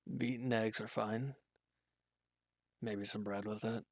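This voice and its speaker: Guy acting out a worn out hurt villain, low gravelly voice, hesitant n grudging, kinda guarded, super dry close audio drama sound. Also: fairly even intonation, takes it slowly, no room sound. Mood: weary, reluctant. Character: injured, weary male villain, guarded but quietly considerate. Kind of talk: roleplay audio drama, intimate one-sided dialogue.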